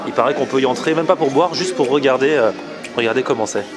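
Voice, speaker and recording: A man's voice: mid-range voice, quick pace. A man talking casually and informally to camera as a vlog host, explaining things as he goes. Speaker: food vlogger and host. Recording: loud music under the voice.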